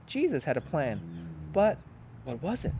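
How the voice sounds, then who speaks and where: Pastor, storytime reader, expressive and lively, slightly high voice. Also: male voice, measured pace, vocal fry, a little hiss or hum. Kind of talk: children's storybook read-aloud.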